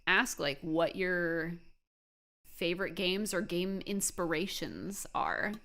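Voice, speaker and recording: A woman's voice: lowish voice, slow pace, a bit bright. A woman hosting a casual interview, chatting playfully and agreeably and often giggling as she talks. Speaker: interviewer, video host. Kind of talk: casual candid interview chat.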